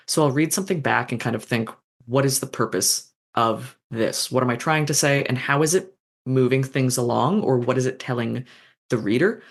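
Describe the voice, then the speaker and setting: An interview guest with a mid-range voice speaks as an author reflecting on craft. The talk is informal and thoughtful, feeling its way through ideas with pauses, slang and the odd swear word. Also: medium pitch, vocal fry.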